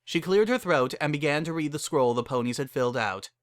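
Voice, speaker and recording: A man's voice: a little high, lively intonation, a little fast, dry recording, studio quality. A man narrating a piece of fan fiction as a dramatic reading. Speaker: story narrator.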